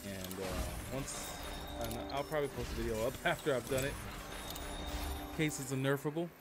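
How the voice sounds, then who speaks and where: A man talks in a casual, unhurried live stream style, switching between chat and short spoken commands. His voice has an average pitch and sits under loud music with game engine sounds behind it. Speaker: live streamer playing a space flight game. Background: loud music, vehicle engine sounds from the game.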